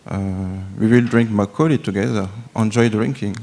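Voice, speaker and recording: A man from France in his thirties, with a French accent, asking a question haltingly in a temple hall. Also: lowish voice, steady tone, gravelly, darkish tone. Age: 30s. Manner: hesitant, halting, many fillers and restarts. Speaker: audience questioner.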